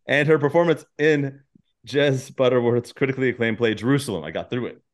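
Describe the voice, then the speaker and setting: A man's voice: medium pitch, brisk. A man hosting an interview podcast, moving between scripted introductions and casual, self-deprecating chat. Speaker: podcast host interviewing guests.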